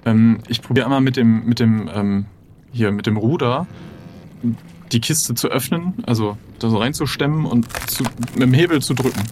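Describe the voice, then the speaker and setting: A man's voice: medium pitch, moderate pace, a little mellow, a little hiss or hum. A man playing an improvised audio role-play game, thinking aloud as he announces his actions. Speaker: player in an adventure game.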